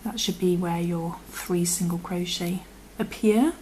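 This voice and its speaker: A woman with a croaky voice, patiently talking through a crochet tutorial and often dropping to a half-whisper as she works. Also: lowish voice, steady tone, unhurried, darkish tone, a little hiss or hum, rough recording. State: croaky from illness. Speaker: crochet instructor. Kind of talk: step-by-step tutorial.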